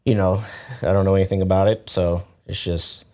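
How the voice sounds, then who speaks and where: A man talking as a podcast guest in relaxed, rambling chat full of fillers. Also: sits low, brisk.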